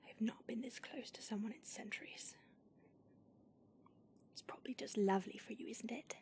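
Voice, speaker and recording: A woman's voice: a little high, moderate pace, a little mellow, natural voice. A woman whispering as a sardonic centuries-old vampire. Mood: irritated. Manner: dry, sardonic teasing. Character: centuries-old female vampire, aloof and prickly. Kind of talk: whispered audio roleplay.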